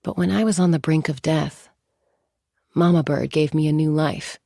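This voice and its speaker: An actress playing a composed, confident young warrior woman in a video game, speaking in a measured, articulate and reflective way. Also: deep voice, steady tone, gravelly, warm tone, no echo, clean studio sound. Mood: tender, solemn. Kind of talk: scripted game character monologue.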